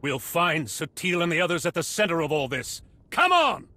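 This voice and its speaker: A resolute male hero in a video game, with a fairly high, forceful voice. He is earnest and defiant, with lively, rallying intonation. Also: normal speed. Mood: defiant resolve. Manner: earnest, determined. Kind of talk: dramatic video game acting.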